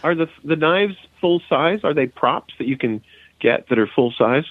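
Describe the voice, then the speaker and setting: A man's voice: a little high, expressive, normal speed, dry recording. A man chatting casually and enthusiastically as a podcast guest, over a tinny, narrow call line. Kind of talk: casual podcast chat about horror collectibles.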